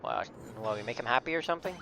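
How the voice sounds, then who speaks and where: A man's voice: mid-range voice, expressive, racing, gravelly, background noise. A man giving casual, joking livestream commentary as he plays a game and reacts aloud. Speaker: streamer. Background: game music.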